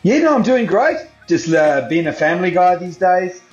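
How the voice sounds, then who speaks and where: A man's voice: high-pitched, normal speed, faint music behind. Guy from south africa, laid-back drummer rambling with lots of ums, echoey muffled call audio. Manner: relaxed, rambling, many fillers. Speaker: guest drummer. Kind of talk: casual interview chat. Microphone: video call. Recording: roomy, dull video-call audio.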